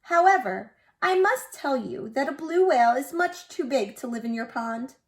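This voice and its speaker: A woman's voice: sits high, lively intonation, moderate pace. A woman voicing a polite, firm male teacher who instructs a child in a picture-book read-aloud.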